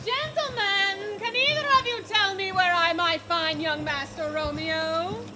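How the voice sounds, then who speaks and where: Woman acting fussy old nurse, dithering, drawn-out, very slow speech, loud music behind. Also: extremely high pitch, steady tone. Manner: drawn-out, dithering, repeats herself. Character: fussy older female nurse, breathless and wordy. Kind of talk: comic stage play.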